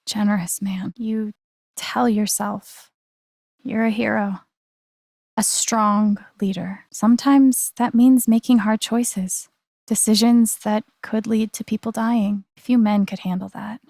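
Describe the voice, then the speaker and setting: An earnest, wounded young woman in a dramatic video game. Her mid-pitched voice is breathy, often close to a whisper, with a gravelly creak at the edges. She speaks very slowly and deliberately, giving each phrase quiet conviction and emotional weight. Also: steady tone, no room sound. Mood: solemn, measured.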